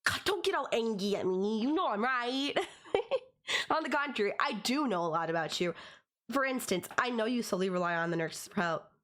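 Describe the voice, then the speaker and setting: A woman's voice: on the high side, lively intonation, moderate pace, a bit bright. A woman playing a possessive, teasing young stalker in an audio roleplay, talking straight to the listener in a conversational, condescending yet affectionate way. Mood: smug, teasing.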